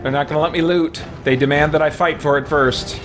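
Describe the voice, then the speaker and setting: A man's voice: on the high side, fairly even intonation, a little fast. A man giving casual live gameplay commentary as a streamer, now and then reading chat aloud. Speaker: video game streamer. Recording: loud game music behind voice. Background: loud game music and effects.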